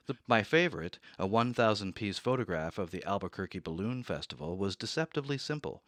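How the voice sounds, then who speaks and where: A man voicing a fussy, precise accountant who narrates in a measured, dry way. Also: fairly low pitch, expressive, no echo. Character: fussy, precise middle-aged male accountant, rigid and easily rattled. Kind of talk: radio drama narration. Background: soft music.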